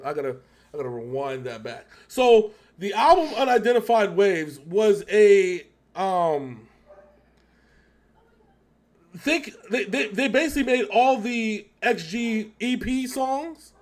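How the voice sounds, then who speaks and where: Higher-voiced man chatting casually. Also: expressive, a little slow. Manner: rambling, thinking aloud with frequent self-corrections. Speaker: reaction show host. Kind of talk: casual music discussion, reaction chat.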